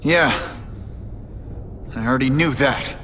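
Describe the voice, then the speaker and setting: A man's voice: medium pitch, slow pace, pushed and bright, a little hiss or hum. A man voicing a young superhero vigilante in a video game, quippy and sarcastic. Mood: tense demanding. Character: young male superhero vigilante, wisecracking, impatient, earnest.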